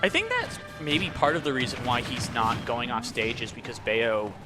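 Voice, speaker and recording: A man co-commentating a video game match casually, with hesitant, halting phrasing and an agreeable way of talking. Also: medium pitch, sing-song, loud music behind the voice. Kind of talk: casual live gaming commentary.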